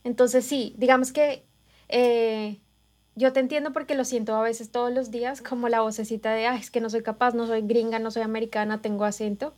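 Woman chatting, thoughtful and reassuring, voice on the higher side. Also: flat delivery, a little fast, a little mellow. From Colombia. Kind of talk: casual chat.

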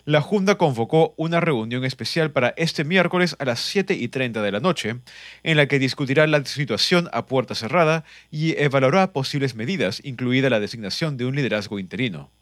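A man reading a news report in an even, formal delivery. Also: mid-range voice, brisk, no echo, clean studio sound. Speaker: news reader.

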